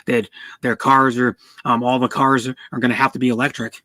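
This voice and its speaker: A man talks casually on a livestream at an average pitch. He rambles and stammers, often repeating words as he goes. The sound is dry, with no room echo. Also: level tone, brisk, pushed and bright. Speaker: streamer. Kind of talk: casual car chat on a livestream.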